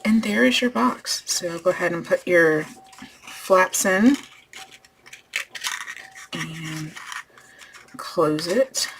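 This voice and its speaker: A woman hosting a craft tutorial, losing her voice and clearing her throat as she talks through each step while working. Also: on the low side, extremely slow, pauses often, noisy background. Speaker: crafting channel host.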